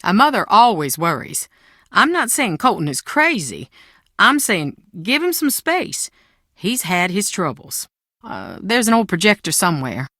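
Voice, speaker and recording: Proud mother, bright, very animated. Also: medium pitch, a little slow, no echo, studio quality, heavily acted. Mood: uneasy, weary. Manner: defensive, theatrical, emotionally expressive. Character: proud, anxious Southern mother guarding family secrets. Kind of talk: video game voice acting.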